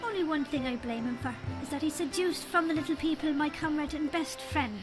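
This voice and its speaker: Woman playing a high-voiced Irish leprechaun king. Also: brisk, lightly acted. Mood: reminiscing. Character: chatty leprechaun fairy king, male, genial storyteller. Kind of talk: audio drama storytelling. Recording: music underneath. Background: music.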